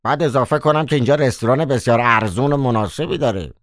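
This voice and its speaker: A man's voice: medium pitch, no echo, clean studio sound, voice pushed up, natural voice. A man voicing a theatrical, mock-polite male trickster in an audiobook, drawling "ah" before his phrases. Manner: drawn-out, hesitant "ah" before phrases. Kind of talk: audiobook character voice.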